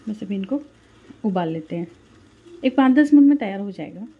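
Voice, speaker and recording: A woman's voice: mid-range voice, expressive, fairly quick, breathy edge, narrow, tinny audio. A woman from Rajasthan, India, talking a viewer through a home recipe step by step in her kitchen, recorded on a phone held close. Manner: homely, explains step by step. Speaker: home cooking vlogger. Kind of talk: casual cooking walkthrough.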